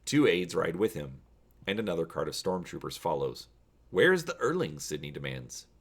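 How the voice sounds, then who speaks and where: A man's voice: a bass voice, moderate pace, husky. A man hosting a story read-along, addressing viewers directly in a casual, emphatic way and reading narration aloud. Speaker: host reading a choose-your-own-adventure story.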